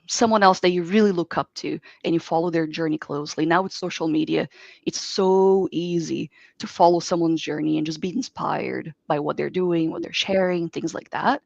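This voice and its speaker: A woman from Brazil, a native Portuguese speaker, speaking as a software engineer guest in an online interview and giving earnest, expansive advice. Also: on the low side, creaky, no room sound. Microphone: video call. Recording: dull dry video call audio.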